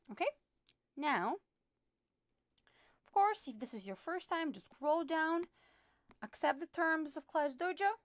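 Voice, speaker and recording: High-voiced woman teacher explaining patiently. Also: no echo, studio quality. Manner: patient, step-by-step explaining with many fillers. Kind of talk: app tutorial screen recording.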